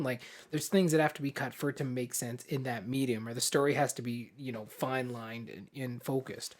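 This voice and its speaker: A man's voice: mid-range voice, a little mellow. A man co-hosting a podcast in casual chat, agreeable and often checking that he is being followed. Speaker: podcast co-host.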